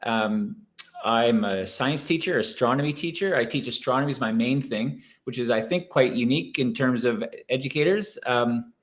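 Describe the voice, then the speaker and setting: A man's voice: medium pitch, normal speed. A man in his fifties with a Canadian accent, speaking casually as a teacher introducing himself on a panel. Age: 50s. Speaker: panel guest, science teacher.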